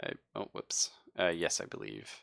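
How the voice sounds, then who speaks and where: A man's voice: deep voice, big pitch swings, moderate pace, vocal fry, fairly bright tone, no room sound. A man acting as game master, narrating and chatting casually in an easygoing, accommodating way. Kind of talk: casual tabletop game narration and table chat.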